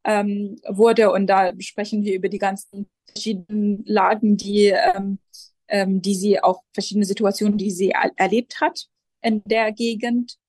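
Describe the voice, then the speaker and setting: A woman in her twenties from Jerusalem, a pastor answering interview questions hesitantly, with many pauses and restarts. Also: medium pitch, little pitch movement, a little mellow, no echo. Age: 20s. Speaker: Lutheran pastor, guest.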